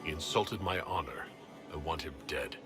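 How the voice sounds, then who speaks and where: A man's voice: a bass voice, moderate pace, over music. A man playing a blunt, self-possessed and coldly ruthless leader in a video game, speaking directly and tersely. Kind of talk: video game cutscene acting.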